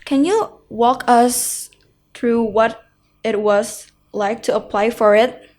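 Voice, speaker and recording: A woman's voice: on the high side, fairly even intonation, slow pace, a little mellow. A woman hosting an interview, reacting enthusiastically and asking questions. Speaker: host. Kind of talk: interviewing guests.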